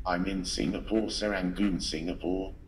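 A synthetic male text-to-speech assistant giving formal, measured replies through a small desktop speaker. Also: deep voice, steady tone. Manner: formal, robotic, measured phrasing. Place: played from desktop smart speaker indoors. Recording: noisy, echoey small speaker captured by phone.

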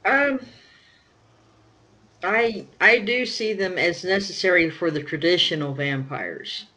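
Slow, hesitant bright-voiced woman. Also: fairly low pitch. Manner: hesitant, with many fillers. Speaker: panelist. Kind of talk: casual panel discussion.

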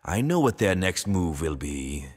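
A man's voice: sits low, big pitch swings, measured pace, slightly rough, exaggerated voice. Man acting a menacing dictator in a cavernous hall. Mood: coldly menacing. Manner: mock-polite, theatrical, switching from exasperated to coldly quiet. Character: menacing, smug dictator of the world, ruthlessly calm. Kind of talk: audio drama acting. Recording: long reverberant hall.

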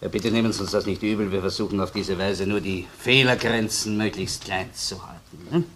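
Actor as a probing police investigator, noisy old recording. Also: male voice, lowish voice, steady tone, a little fast, fairly bright tone, close to an everyday voice. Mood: probing, polite. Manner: probing, with questioning hums and tag words. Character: male police investigator, probing, courteous, dryly persistent. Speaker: actor in a crime radio play. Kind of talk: German radio crime drama acting, interrogation and dictation.

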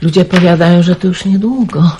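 An actress playing a careworn, kindly middle-aged aunt in a radio play, homely and chatty, with sighs. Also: female voice, lowish voice, fairly quick, warm tone, close to an everyday voice. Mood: gossipy. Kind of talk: 1990s Polish radio play acting. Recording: noisy radio drama recording with clicks.

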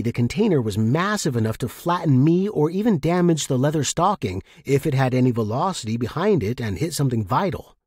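A man reading first-person fiction as a narrator, in an expressive, dramatic manner. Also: mid-range voice, breathy edge, dry recording, studio quality.

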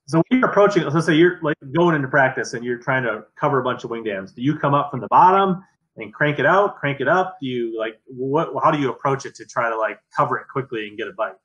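A man's voice: mid-range voice, animated, fast talker, a bit bright, highs cut off. A man hosting a fishing show, asking questions and thinking aloud in a casual conversational way. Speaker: podcast host. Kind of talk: casual interview talk. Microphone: webcam on a video call.

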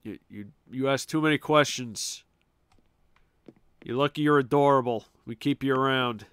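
A man's voice: mid-range voice, moderate pace, a bit bright, smooth, dry recording, natural voice. Man voicing female octopus villager, secretive, sweet, cagey, cozy game, barely changed voice. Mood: mock suspicious.

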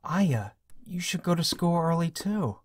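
A man's voice: on the high side, lively intonation, measured pace. Man acting everyday manga males, noisy recording. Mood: casual, polite. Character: assorted everyday males: father, student, teacher. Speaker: voice actor. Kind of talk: fan manga dub acting.

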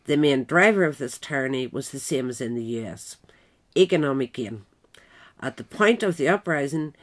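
A narrator reading a scripted essay in a measured, deliberate manner. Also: mid-range voice. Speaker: narrator, reader.

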